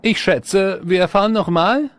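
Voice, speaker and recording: A man's voice: high-pitched, measured pace. A man voicing a suave, competitive race car in a German-dubbed cartoon, courteous and playfully boastful. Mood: gracious.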